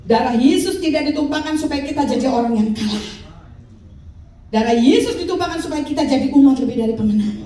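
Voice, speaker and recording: Female pastor, 50s, high voice, animated call-and-response preaching, distant mic. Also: fast. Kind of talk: sermon. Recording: echoey hall, mic from far off.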